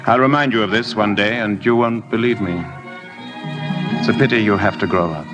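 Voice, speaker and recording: A wealthy gentleman played by a man talks in a clipped, wry, commanding way. His voice is fairly low, over prominent music in an old radio drama. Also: normal speed, muffled recording, lightly acted. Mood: brusque, wry. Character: brusque, wry, wealthy English gentleman suitor. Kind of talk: 1950s radio drama acting. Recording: vintage radio recording with background music.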